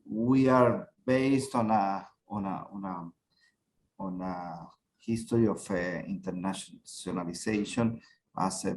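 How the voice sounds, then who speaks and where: A middle-aged man from Chile speaking as a university rector on a panel, halting, with many fillers and repeated words. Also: deep voice, a little mellow, slight background noise. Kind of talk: panel talk.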